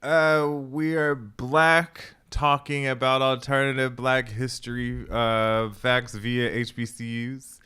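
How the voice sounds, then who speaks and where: A man's voice: mid-range voice, little pitch movement, slow, hardly any pauses, bright, forceful tone, smooth. A man co-hosting a podcast, chatting casually and hesitantly with many ums before telling a story. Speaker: podcast co-host.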